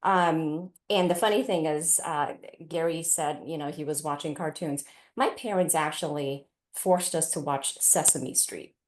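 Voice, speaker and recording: Low-voiced woman telling her story, echoey room. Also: a little slow. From Taiwan, then California from age eight. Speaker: parent panelist. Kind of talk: personal storytelling on a panel. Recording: roomy, echoey event space.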